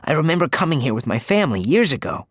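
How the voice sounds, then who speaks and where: A man's voice: a little high, very animated, quick pace, no echo, studio quality, pitched above the performer's own voice. A man playing an anxious, earnest teenage boy who talks with urgency.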